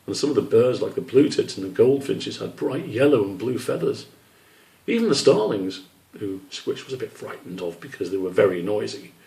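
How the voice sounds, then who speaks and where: A man's voice: fairly low pitch, gravelly, warm tone. A man reading aloud from his own children's book as a storybook narrator. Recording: roomy echo, distant microphone.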